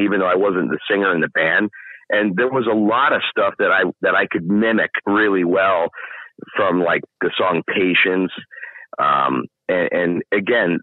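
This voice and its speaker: A middle-aged man with a low baritone voice talks through an interview, thinking aloud and restarting his sentences often. His voice comes over a narrow, phone-like line with no room sound at all. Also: no room sound. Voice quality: deep baritone speaking voice. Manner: thoughtful, explains at length with many restarts. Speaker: guest singer. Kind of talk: casual interview chat.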